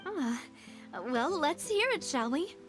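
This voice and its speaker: A poised young lady boss speaks in a high, melodic voice for a video game. She is polite and refined but guarded, and music plays behind her. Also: sing-song, slow, heavily acted. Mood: intrigued. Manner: composed, refined, slightly reserved.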